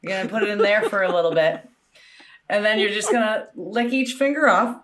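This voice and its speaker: Medium-pitched woman giving mock instructions. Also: a little fast, a crowd in the background. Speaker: quiz panelist. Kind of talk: improvised mock-instructional comedy.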